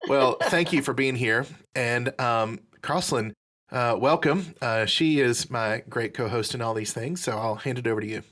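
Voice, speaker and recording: A man's voice: medium pitch, moderate pace, a bit bright. A man hosting a podcast, bantering playfully before handing over to his co-host. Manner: joking and playful. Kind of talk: casual banter.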